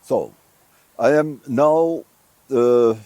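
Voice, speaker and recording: A man's voice: mid-range voice, drawn-out words, mellow, no room sound. An older man from Morocco, a visiting tourism professor, answering interview questions in English with many fillers and restarts.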